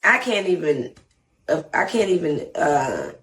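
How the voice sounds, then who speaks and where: A woman from Atlanta with a low voice and a Southern accent, talking casually and at length as an interview guest, full of asides. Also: unhurried, far from the mic. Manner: animated, rambling, frequent "you know what I'm saying". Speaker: guest artist.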